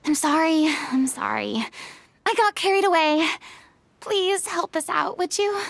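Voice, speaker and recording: A woman's voice: very high-pitched, expressive, unhurried, vocal fry, fairly bright tone, full character voice. A woman voicing a boastful, theatrical former archon who is easily flustered, in an anime-style video game. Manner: grandiose, dramatic, easily flustered.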